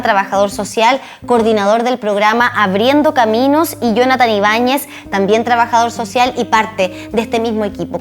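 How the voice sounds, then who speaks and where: A woman with a Chilean accent hosting a television interview in a conversational presenter's style. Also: fairly high pitch. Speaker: TV show host.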